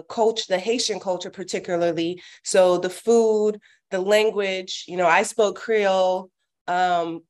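Young adult woman from Miami, interview guest, mid-pitched, friendly and reflective. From Miami, Florida. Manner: friendly, reflective, with frequent fillers. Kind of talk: interview conversation.

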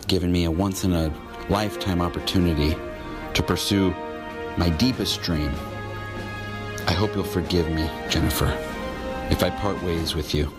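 Earnest, deep-voiced lovelorn gentleman played by a man. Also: steady tone, moderate pace, pauses often, loud music behind the voice, close to an everyday voice. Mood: earnest, apologetic. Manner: earnest, heartfelt, formal. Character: earnest, lovelorn gentleman dreaming of space travel.

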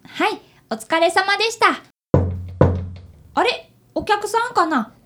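A woman's voice: very high-pitched, lively intonation, takes it slowly, loud music behind the voice, heavily acted. A woman voicing a polite, earnest fifth-grade boy detective apprentice in a radio drama. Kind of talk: radio drama acting with first-person narration.

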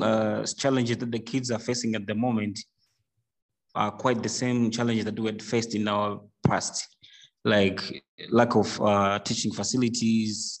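Low-voiced man. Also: poor audio. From Tanzania. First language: Swahili. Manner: halting, searching for words. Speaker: guest, charity team member. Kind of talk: interview answer.

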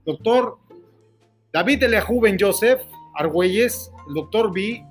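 High-voiced Mexican man hosting live radio. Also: animated, measured pace, soft background music. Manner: earnest, rambling and repetitive. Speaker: radio host. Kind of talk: live radio call-in talk.

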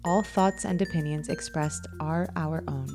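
A woman's voice: low voice, fairly even intonation, a little slow. A woman co-host delivering a scripted podcast introduction to listeners. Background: faint music.

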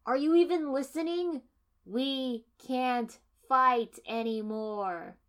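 A woman voicing a brash, angry young male ninja who argues bluntly and loudly. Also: sits high, takes it slowly, clear, resonant tone, pitched above the performer's own voice. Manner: loud, blunt, exasperated shouting.

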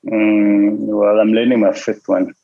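Casting: low, husky-voiced man whose first language is isiXhosa, matter-of-fact, on a muffled recording. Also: flat delivery, slow pace. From South Africa. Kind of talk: casual chat.

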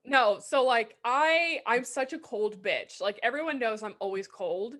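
Animated, blunt young woman podcaster. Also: a little high, unhurried. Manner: animated, blunt, swears freely. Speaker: podcast co-host. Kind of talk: casual chat.

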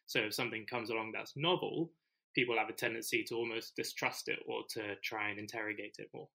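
A man from the UK co-hosting an education podcast, explaining his points in a measured, thoughtful way. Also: on the low side, fairly even intonation, creaky, sharp tone. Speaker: podcast co-host, teacher. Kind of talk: casual conversation.

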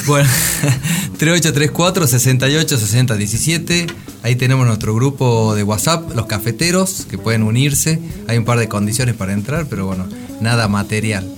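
A man's voice: mid-range voice, normal speed. A man with an Argentine accent, chatting casually as a radio co-host. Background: music playing behind the voice.

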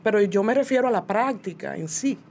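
A woman's voice: medium pitch, lively intonation, brisk, darkish tone. A woman from the Dominican Republic with a Dominican accent, talking casually as an interview guest and repeating phrases for emphasis. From Puerto Plata, Dominican Republic. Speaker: guest, muralist and illustrator. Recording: faint background noise, ambient sound.

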